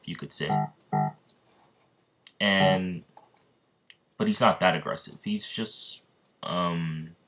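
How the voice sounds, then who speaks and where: A man's voice: low voice, fairly bright tone. A sleepy young man casually making up a fan story, rambling with lots of ums and restarts. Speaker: YouTuber narrating a fan story.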